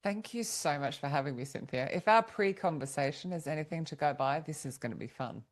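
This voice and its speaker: A woman's voice: low voice, fairly quick. A woman with an Australian accent, a guest in a podcast interview, chatting easily and laughing often. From Sydney, Australia. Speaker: guest, author. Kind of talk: casual interview chat.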